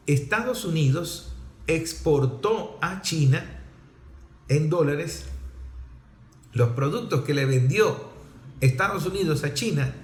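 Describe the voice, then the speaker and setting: A man's voice: a little high, a little mellow, slight background noise. A man with a Venezuelan accent giving explanatory political commentary in an emphatic, didactic way, with rhetorical questions and repetition. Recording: roomy, echoing sound.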